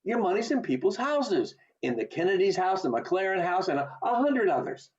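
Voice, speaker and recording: Radio drama: male actor as an earnest, stubborn young man, emphatic and very animated, dulled by a video-call line. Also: sits high, moderate pace. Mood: pleading. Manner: stammering, emphatic. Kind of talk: amateur radio play acting. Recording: muffled video call audio.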